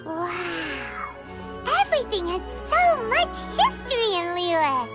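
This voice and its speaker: Tiny fairy girl, very high squeaky voice, bubbly, wildly animated, game companion. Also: takes it slowly, heavily acted. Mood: curious, amazed. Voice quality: squeaky, childlike. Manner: bubbly, exclamatory, refers to self by name. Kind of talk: anime-style video game voice acting. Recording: game music behind voice.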